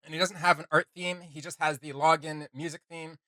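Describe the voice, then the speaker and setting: An enthusiastic young man co-hosting a reaction video, talking casually with plenty of fillers. His voice is bright and sits slightly high for a man. The recording is close and dry. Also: little pitch movement, brisk, no room sound. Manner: chatty and enthusiastic, with frequent fillers and laughs.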